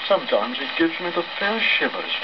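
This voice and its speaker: A man's voice: a little high, lively intonation, fairly quick, pitched above the performer's own voice, lightly acted. Man reading as a self-important English ship's cook, crackly record. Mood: boastful, self-pitying. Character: boastful, mock-sorrowful ship's cook, a lying self-styled seer. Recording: old crackly record, dull, heavy surface noise.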